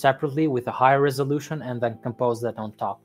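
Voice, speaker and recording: A man's voice: mid-range voice, racing. A man, a 3D artist guest, answering politely and briefly with some hesitation. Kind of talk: casual interview.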